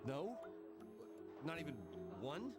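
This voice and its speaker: A man's voice: on the high side, very animated, slow. A man voicing a nervous, bewildered young man in a video game cutscene, stammering and hesitant. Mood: baffled. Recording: music under the voice.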